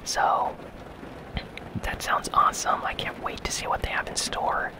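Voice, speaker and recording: A host whispering casual gaming news in a soft, breathy, unhurried ASMR style. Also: low-quality recording.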